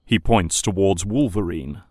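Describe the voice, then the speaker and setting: Low-voiced man narrating a drama. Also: measured pace, a little mellow, no room sound, clean studio sound. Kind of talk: scripted narration of an audio drama.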